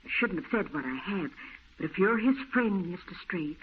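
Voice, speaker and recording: A woman's voice: lowish voice, lively intonation, normal speed, lightly acted. A woman acting a devoted, motherly older housekeeper in an old-time radio drama, speaking in a grave, deferential way. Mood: worried, guarded. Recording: muffled old radio recording, faint noise.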